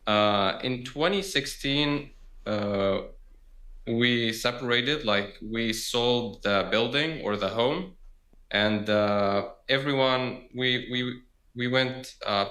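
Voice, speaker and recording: Guest in his twenties from Gaza: deliberate and unhurried, bright voice, on a video call. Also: medium pitch. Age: 20s. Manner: slow, hesitant, with frequent fillers. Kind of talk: conversational interview. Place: internet cafe.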